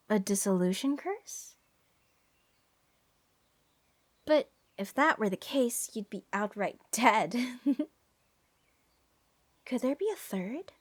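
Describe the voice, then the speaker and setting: A woman playing a giddy, unhinged mage who gushes over cursed monsters in a fantasy ASMR roleplay. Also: fairly high pitch. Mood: musing, curious. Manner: breathy gasps, gushing, rambling, sweetly menacing.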